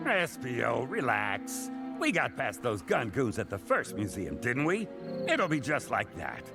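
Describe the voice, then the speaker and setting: A man voicing a cocky, bossy crocodile who leads a cartoon detective team, with a gravelly voice. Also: medium pitch, huge pitch swings, a little slow, exaggerated voice. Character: brash male crocodile boss of a detective team. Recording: music behind the voice.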